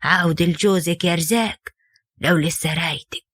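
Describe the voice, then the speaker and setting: A woman voicing a weary older mother who pleads slowly and softly. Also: fairly low pitch, steady tone, no room sound, studio quality. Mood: sad pleading. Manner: slow, soft pleading.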